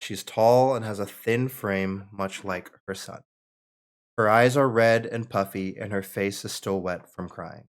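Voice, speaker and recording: A man's voice: on the low side, unhurried. A man reading out the stage directions and narration at a screenplay table read.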